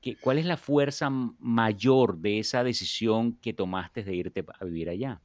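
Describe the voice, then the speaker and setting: A man's voice: on the low side, unhurried, a little mellow, no echo. A man hosting an interview, talking casually and hesitantly with many fillers and restarts. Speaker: host. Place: home in the US, dry room.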